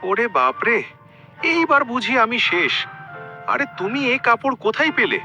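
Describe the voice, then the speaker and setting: Freezing cartoon creature, wailing, man puts it on, very high and swooping. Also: big pitch swings, unhurried, pushed and bright, dull audio, faint music behind, exaggerated voice.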